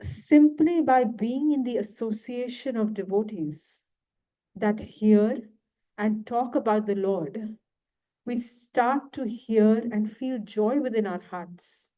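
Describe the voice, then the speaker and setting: Slow, soft-toned woman lecturing. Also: a little high, low-quality recording. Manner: slow, asks the audience questions. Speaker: guest lecturer. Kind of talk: devotional lecture. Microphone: handheld mic, failing at times.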